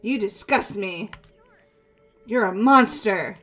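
A woman's voice: medium pitch, slow, a bit bright. A woman voicing an impulsive, emotional, hot-headed teenage girl in a video game. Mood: outraged. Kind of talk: amateur video game voice-over. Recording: background music throughout.

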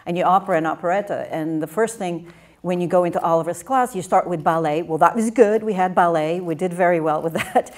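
A woman's voice: on the low side, brisk. A woman in her fifties from Bulgaria, speaking with a Bulgarian accent, telling wry stories on a stage panel into a handheld mic. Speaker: production designer on a panel. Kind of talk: casual storytelling on a panel. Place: theater stage before an audience.

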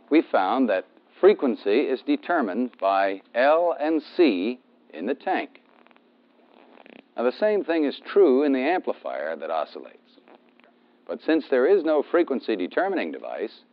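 An American man giving methodical instructional narration as a training film instructor, stressing key terms. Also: medium pitch. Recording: dull, band-limited 1970s film soundtrack.

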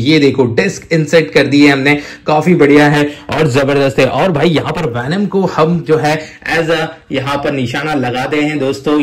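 An excitable man, presenting toys hands-on to viewers in an enthusiastic, repetitive way full of amazed exclamations. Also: on the high side. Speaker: toy review presenter. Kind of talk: enthusiastic hands-on toy showcase, addressing viewers as friends.

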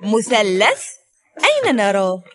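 Slow, husky woman teaching little kids. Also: mid-range voice, huge pitch swings, a bit bright. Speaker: narrator of a shapes lesson for children. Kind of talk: slow scripted teaching of single words.

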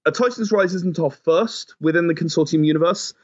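A man's voice: a little high, fairly quick, muffled recording. A man being interviewed as a game creator and producer, explaining his work conversationally.

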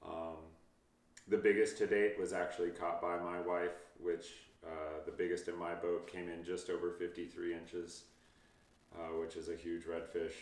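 Man, 30s, fishing guide, low voice, casual and reassuring, recorded at a distance in an echoey home office. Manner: easygoing, reassuring, frequent ums. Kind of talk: casual interview answers. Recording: roomy, distant mic.

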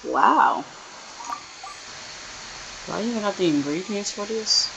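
A woman's voice: mid-range voice, expressive, takes it slowly, vocal fry, noisy background. A woman giving casual, slangy gameplay commentary, talking half to herself as she plays. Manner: informal, slangy, muttering to herself. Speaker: gamer, channel host. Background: game music.

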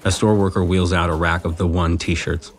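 A man's voice: low-pitched, level tone, moderate pace. A man narrating scene action in a matter-of-fact way for a comedy audio drama.

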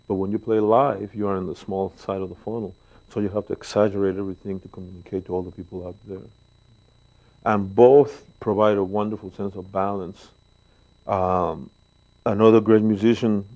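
A man in his sixties from Mexico with a Mexican Spanish accent, telling stories with pauses and fillers as an interview guest in a living room, speaking into a lapel mic. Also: fairly low pitch, poor audio. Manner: warm, storytelling, pauses with fillers. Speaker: guest bass player. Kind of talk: relaxed interview talk. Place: living room, seated on a sofa.

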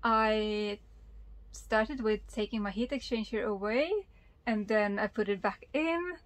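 A woman's voice: mid-range voice, unhurried, no room sound. A woman, chatting casually and enthusiastically to camera as she explains things as a sailing vlogger. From Sweden. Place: small sailboat, in cabin and covered cockpit, and outdoors at a marina.

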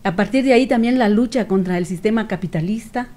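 A middle-aged woman with a Guatemalan accent giving earnest, reflective interview answers in a measured way, with pauses. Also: medium pitch, dark tone. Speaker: activist guest. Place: small radio studio with several people. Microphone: studio microphone on stand.

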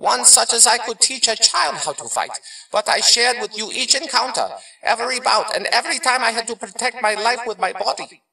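An actor playing a wise old martial arts master spirit in an audio drama, speaking in a measured, formal, teaching manner. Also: male voice, extremely high pitch, vocal fry, sharp tone, roomy sound, far from the mic, exaggerated voice, rough recording. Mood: firm, instructive.

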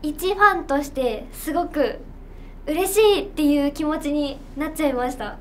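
A woman's voice: high voice, normal speed, a little mellow, slight background noise. A young adult woman, a voice actor, chatting casually in a promotional interview. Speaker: voice actor guest. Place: small indoor room.

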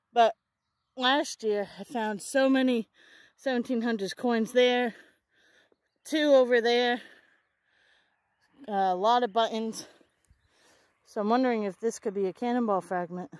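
A woman's voice: fairly high pitch, no room sound. Chatty woman vlogging in a field. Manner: excited, chatty, self-correcting. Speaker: metal detecting vlogger. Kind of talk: casual outdoor vlog narration. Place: open farm field outdoors. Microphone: handheld or body camera close up.

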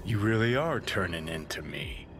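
A man's voice: low voice, animated, normal speed. An actor playing a cynical, profane rebel man who haunts another's head in a video game. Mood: reflective. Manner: sardonic, profane, tough-talking. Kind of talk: video game dialogue acting. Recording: game ambience and traffic noise behind.

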